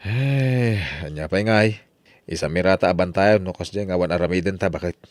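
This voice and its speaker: An actor playing an exasperated, grumbling farmer in a comic radio drama, speaking with an Ilocano accent. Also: male voice, sits low, brisk, no room sound, lightly acted. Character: exasperated male farmer pleading with stubborn neighbours.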